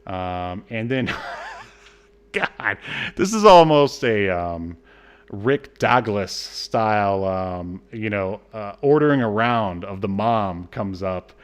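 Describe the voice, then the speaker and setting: A man's voice: fairly low pitch, lively intonation, slow pace, clear, resonant tone. Sardonic man, casual podcast co-host. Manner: sardonic, exasperated, swearing. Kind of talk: casual comedic recap of a film.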